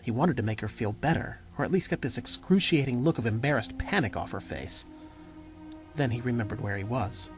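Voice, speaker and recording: Male narrator, medium pitch, scripted storytelling, audio drama, music behind the voice. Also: animated, a little fast.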